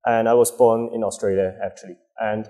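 Young male guest, lowish voice, halting, filler-heavy delivery, lapel mic. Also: little pitch movement, mellow. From Hong Kong. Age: 20s. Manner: hesitant, many fillers and restarts. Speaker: guest, bar co-owner. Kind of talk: casual interview answers. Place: dim bar set with a host.

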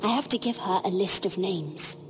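An actress playing a young noble handmaiden in a video game, earnest and guarded, speaking politely and with care. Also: female voice, mid-range voice, moderate pace, natural voice. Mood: worried, earnest. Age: young adult. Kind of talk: dramatic video game dialogue. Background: music.

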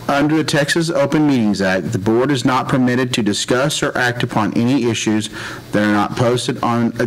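A man's voice: medium pitch, poor audio. A man presiding over a school board meeting, reading a formal statement aloud in a meeting room. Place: public meeting room, indoors.

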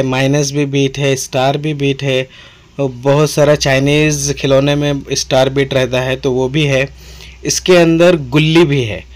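A man with an Indian accent giving an informal product demonstration, explaining in a repetitive way and mixing in English words. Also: mid-range voice. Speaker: tool seller demonstrating products.

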